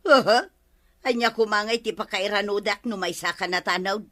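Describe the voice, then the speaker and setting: A woman acting a nagging, sharp-tongued village wife in an Ilocano radio comedy, with scolding, emphatic delivery. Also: mid-range voice, normal speed, natural voice. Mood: exasperated, scolding.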